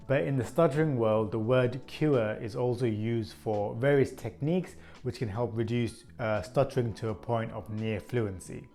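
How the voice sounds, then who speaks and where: A man in his thirties speaks at a middle pitch, earnest and even, as he hosts his own channel. Now and then a stutter slows a word before he moves on. Soft music plays faintly under his voice. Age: 30s. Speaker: channel host. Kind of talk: scripted vlog talk to camera.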